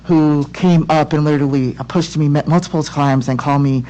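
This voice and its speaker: A woman from Tonga speaking as a parent in public comment at a school board meeting, earnest and halting, with many restarts. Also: low voice, steady tone, warm tone, rough recording. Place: home room on a video call. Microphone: laptop or webcam mic on video call.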